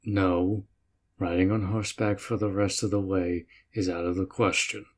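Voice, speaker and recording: A man playing a dutiful, protective orc general in a roleplay audio drama, speaking in a measured, earnest way with a tender side. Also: deep voice, little pitch movement. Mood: stern, exasperated. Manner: slow, measured, earnest, with sighs.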